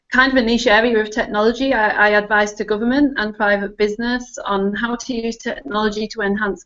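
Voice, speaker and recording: A woman's voice: medium pitch, a bit bright. Northern English woman, muffled stream. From Northern England. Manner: chatty, laughs easily, many ums. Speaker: guest. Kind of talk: relaxed interview talk. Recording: slightly muffled live stream audio.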